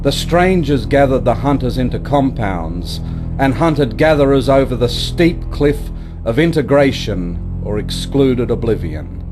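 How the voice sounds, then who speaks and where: Male poetry reader, unhurried and measured, medium pitch, guitar playing behind. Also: lively intonation. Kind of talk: poetry recitation. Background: plucked guitar music.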